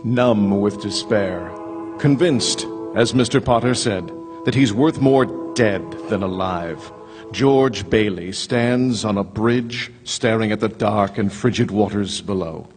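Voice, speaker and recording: A man narrating a live radio drama in a measured, dramatic way. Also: lowish voice. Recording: theater stage with long reverb and music.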